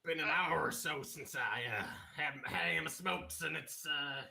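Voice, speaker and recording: Man as a blustering older tobacco dad, gravelly and sharp-toned. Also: a little high, moderate pace, heavily acted. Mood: gruff. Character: gruff older male cigarette boss and father.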